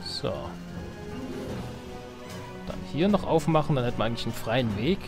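A man's voice: medium pitch, lively intonation, moderate pace. A man giving casual, slangy gameplay commentary, thinking aloud as he plays. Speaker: let's play host. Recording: game music behind the voice. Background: strategy game music and game sounds.

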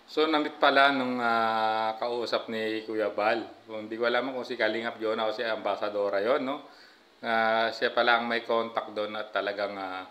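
A man's voice: lowish voice, a bit bright. A middle-aged man commenting over a clip to his viewers in a casual, chatty way, often tagging lines with "no, guys?" into a phone held close. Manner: chatty, frequent filler "no, guys?". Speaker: reaction vlogger.

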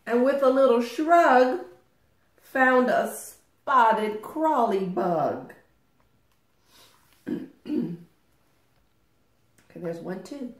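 A woman in her sixties reads a picture book aloud as a storytime host. Her delivery is extremely unhurried and melodic, phrased carefully for small children. It is recorded in an echoey living room. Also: fairly high pitch. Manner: deliberate, expressive, sing-song phrasing for small children. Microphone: camera a short distance away. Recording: roomy home recording.

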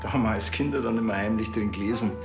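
Guy doing sardonic bavarian village cop, unhurried, tv comedy, clear music behind him. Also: fairly low pitch, echoey room, lightly acted. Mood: dry, probing. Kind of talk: naturalistic TV crime comedy dialogue.

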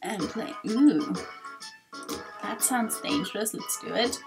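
A woman's voice: medium pitch, animated, slow, creaky, rough recording. A woman doing casual, self-deprecating video game commentary, often apologetic as she plays. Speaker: let's player. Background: game music.

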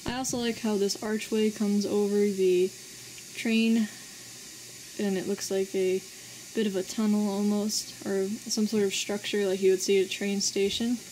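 A woman casually reviewing a toy set as host of her channel, relaxed and plainly enthusiastic, pausing often. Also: medium pitch, a little hiss or hum, low-quality recording. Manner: relaxed, plain enthusiasm with frequent filler pauses.

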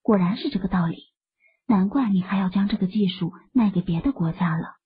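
Woman acting a husky, sweet young wife. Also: mid-range voice, brisk. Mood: teasing. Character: young wife, sweet, tender, coy with her husband. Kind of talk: acted audiobook dialogue. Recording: muffled, band-limited lo-fi audio.

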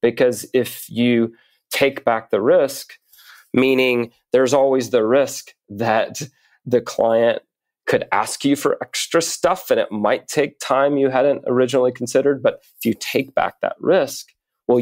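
A man speaking as an interview guest, explaining in a measured, articulate way with deliberate pauses. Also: mid-range voice, darkish tone, no room sound. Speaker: podcast guest, writer and coach.

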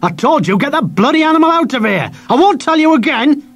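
A man voicing a nasty, bullying male chip-shop owner who shouts and sneers. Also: extremely high pitch, sing-song, brisk, voice pushed up, full character voice. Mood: furious.

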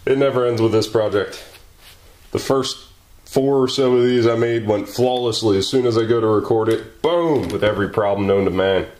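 A man's voice: lowish voice, normal speed, a little mellow. A man hosting a maker channel, chatting and joking casually as he works in his garage workshop. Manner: joking, exclaiming and thinking aloud while working.

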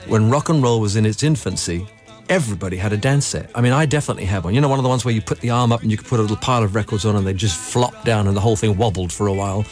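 A man's voice: fairly low pitch, rapid-fire, a little mellow. A man casually reminiscing in a radio interview. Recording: vintage radio tape, music behind.

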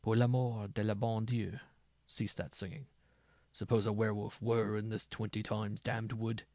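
Man as a nervous, creaky medieval minstrel. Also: fairly low pitch, level tone, normal speed, darkish tone, dry recording, clean studio sound, close to an everyday voice. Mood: fearful, urgent. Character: taciturn, fearful medieval minstrel, superstitious companion.

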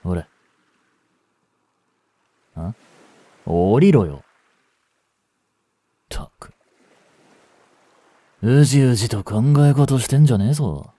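A man playing a young delinquent in a voice drama, listless and blunt, with rough slangy talk and a caring streak. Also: medium pitch, animated, slow, long pauses, warm tone, dry recording. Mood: teasing, blunt. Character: gruff, languid young male delinquent, secretly caring. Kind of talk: Japanese voice drama for female listeners.

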